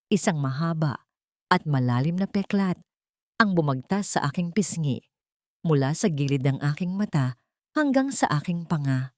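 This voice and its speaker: A woman's voice: fairly low pitch, a little mellow, no room sound, studio quality. A woman narrating a dramatic first-person story as an audiobook.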